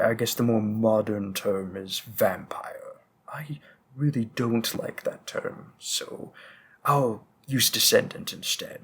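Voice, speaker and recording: A man performs an ancient, refined male vampire in an audio roleplay. He speaks slowly and deliberately at a medium pitch, condescending and pedantic, with the menace of a protective husband. There is faint noise behind the voice. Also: a bit bright. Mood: condescending, curious. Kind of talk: scripted roleplay monologue addressed to the listener.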